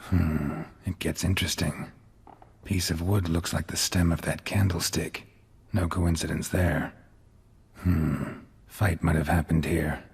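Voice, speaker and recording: An actor playing a terse, world-weary monster hunter in a video game, with a low gravelly murmur and clipped, deadpan delivery. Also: male voice, a little slow, full character voice. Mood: pensive, muttering. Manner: clipped, deadpan, muttering observations.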